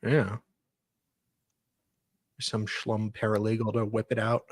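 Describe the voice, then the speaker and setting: A woman's voice: very deep voice, flat delivery, slow. A woman panelist chatting casually on a livestream. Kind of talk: casual chat.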